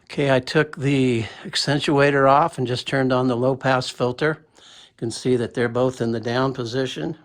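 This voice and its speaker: A man's voice: medium pitch, fairly even intonation, normal speed, echoey room, far from the mic. A man casually reviewing a microphone, talking plainly and counting off mic tests. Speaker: gear reviewer. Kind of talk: casual product demo with mic checks.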